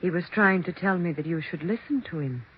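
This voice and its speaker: On a dull, faintly noisy 1940s radio recording, an actress plays an insinuating middle-aged woman. Her delivery is grave, measured and insistent, as in a suspense drama. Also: fairly low pitch, lightly acted. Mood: solemn, insistent.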